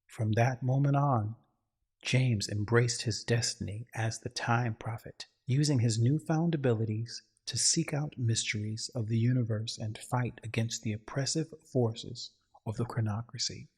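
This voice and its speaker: Very husky man narrating a story. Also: mid-range voice, steady tone, measured pace, darkish tone. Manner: solemn, grandiose storytelling. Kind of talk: dramatic scripted story narration.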